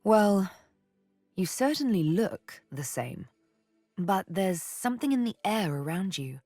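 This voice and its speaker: An actress voicing a seductive, menacing elven assassin woman in a fantasy game, intimate and teasing, with breathy, deliberate delivery. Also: medium pitch, sing-song, mellow, dry recording, soft background music, full character voice. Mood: curious, fond. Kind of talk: dramatic fantasy game voice acting.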